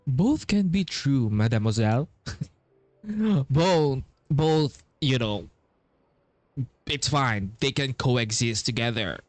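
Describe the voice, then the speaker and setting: A man's voice: mid-range voice, expressive, slow pace, long pauses, no echo, faint music behind. A man giving casual playthrough commentary as a game streamer, repeating himself in hesitant phrases.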